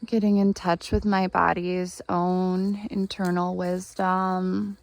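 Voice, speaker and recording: 30s lady doing guided meditation, super slow and gentle, lots of vocal fry. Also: fairly low pitch, little pitch movement, no room sound. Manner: gentle, unhurried, earnest, with long pauses. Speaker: guided meditation host, healer. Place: outdoors in the woods by a spring.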